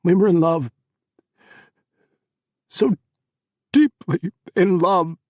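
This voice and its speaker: A man voicing a grieving young widower who pleads and breaks into sobbing shouts. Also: mid-range voice, moderate pace, gravelly, breathy edge, dry recording. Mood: weeping.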